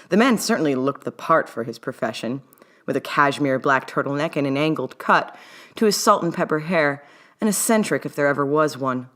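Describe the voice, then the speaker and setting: A woman author reading her own story aloud in an old-timey mid-Atlantic accent, in the style of an audiobook. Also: low-pitched. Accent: mid-Atlantic, old-timey English-leaning. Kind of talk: audiobook-style narration of fan fiction.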